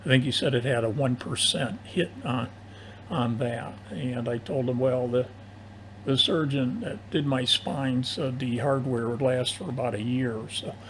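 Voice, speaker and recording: An older man chatting casually over amateur radio in long turns, with a halting, hesitant delivery. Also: medium pitch. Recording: noisy amateur AM radio audio.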